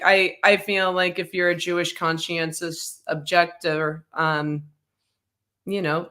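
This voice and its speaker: A woman in a casual comedy chat, sardonic and trading deadpan quips. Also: on the low side.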